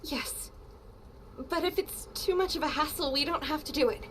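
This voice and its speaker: A woman voicing a gentle, devout young warrior in a video game, soft and earnest, with measured solemn delivery. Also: sits high, a little hiss or hum, rough recording. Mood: cautious, concerned.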